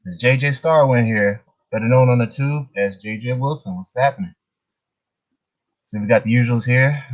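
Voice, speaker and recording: A man hosting a casual livestream, chatting informally with his viewers. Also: mid-range voice. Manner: informal, chuckles between remarks. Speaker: livestream host. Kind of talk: casual live chat with viewers. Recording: dull, muffled lo-fi stream audio.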